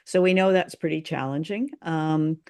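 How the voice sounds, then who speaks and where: A woman from Canada with a gravelly, creaky edge to her voice co-hosts a casual talk show. She is wry and thoughtful, feeling her way through each point with some hesitation. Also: low voice, moderate pace, a little mellow. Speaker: podcast co-host. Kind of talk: casual chat reviewing a radio drama.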